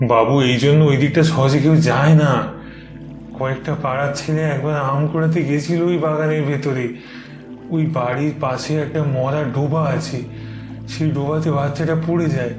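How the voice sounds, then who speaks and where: A worried village rickshaw man in a horror cartoon, voiced by a man. His highish voice is earnest and deferential, full of ominous warning, with echo and faint music. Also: steady tone, normal speed, darkish tone, close to an everyday voice. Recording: roomy echo.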